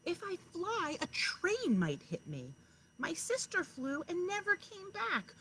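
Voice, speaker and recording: A woman's voice: very high-pitched, sing-song, slow pace, a bit bright, voice pushed up, exaggerated voice, rough recording. A woman voicing a scared young female owl chick in a children's storybook, pleading and protesting. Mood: fearful. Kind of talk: children's storybook read-aloud. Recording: clear background noise, outdoors.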